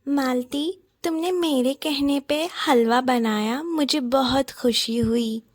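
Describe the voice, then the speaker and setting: Woman voicing a high-voiced, coaxing, friendly cartoon neighbour. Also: slow, no echo, clean studio sound. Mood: effusive, encouraging. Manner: animated, coaxing, effusive. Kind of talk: Hindi children's cartoon story acting.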